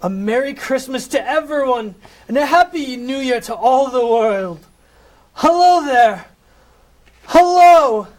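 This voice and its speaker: A repentant old miser in a comic audio play, with a very high voice for a male. He speaks slowly and theatrically, full of exclamation and raw emotion. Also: lively intonation, a little mellow, exaggerated voice, rough recording. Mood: giddy joy. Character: repentant old male miser, desperate then giddily overjoyed.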